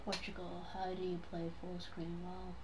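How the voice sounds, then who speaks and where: Low-voiced woman streamer over loud game noise. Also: monotone, moderate pace. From Taiwan. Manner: mild swearing, chatty with viewers. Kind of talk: casual live gameplay commentary. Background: video game sounds.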